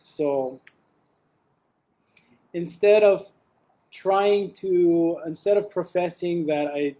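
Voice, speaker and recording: A young man presenting an academic webinar over a video call, talking in a relaxed way with frequent ums and restarts. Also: sits high, extremely slow, poor audio.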